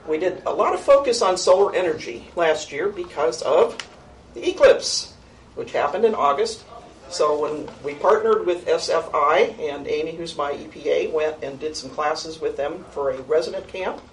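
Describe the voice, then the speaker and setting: Low-voiced woman presenting from across a room. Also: darkish tone. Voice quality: low-register voice. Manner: conversational, with frequent ums and restarts. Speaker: youth program coordinator presenting an annual report. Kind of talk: informal presentation to a board. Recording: distant mic in a meeting room with faint noise.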